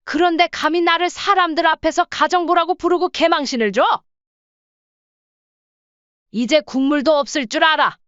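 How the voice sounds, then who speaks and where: A woman playing a firm, proud mother-in-law in her sixties in a scripted audio story, speaking plainly and deliberately. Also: sits high, steady tone, a bit bright, dry recording, lo-fi sound. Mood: indignant, scolding.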